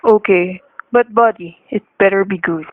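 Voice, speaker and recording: A woman's voice: mid-range voice, normal speed, natural voice. Thin, phone-like sound frames a woman playing a sneering gunman on the run. The talk is slangy, sarcastic and menacing, in radio-thriller style, always suspicious of a trick. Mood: threatening, suspicious.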